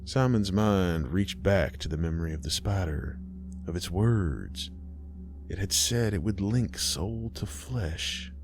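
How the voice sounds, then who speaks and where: Deep, slow-talking male narrator with a folksy fireside manner. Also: music in the background. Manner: folksy, unhurried, addresses the listener directly. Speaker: storyteller host. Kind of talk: horror story narration.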